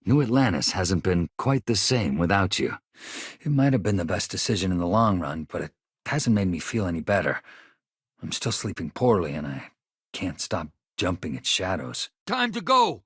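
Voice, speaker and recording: A man's voice: lowish voice, husky, no echo, clean studio sound. A man voicing an earnest, weary chief engineer in a video game, speaking in a reflective, conversational way. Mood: troubled, then combat shouting. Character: earnest, weary male chief engineer, haunted survivor of a disaster. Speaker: starship crew engineer. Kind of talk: video game character dialogue.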